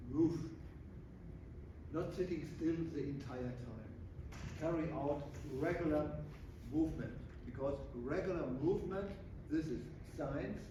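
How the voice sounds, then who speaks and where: German-accented man in his sixties lecturing. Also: a little high. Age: 60s. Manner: halting, searching for words with many uh fillers. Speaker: physiologist giving a guest talk. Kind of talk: presentation to an audience. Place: large echoey hall. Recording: echoey room from far off, noisy.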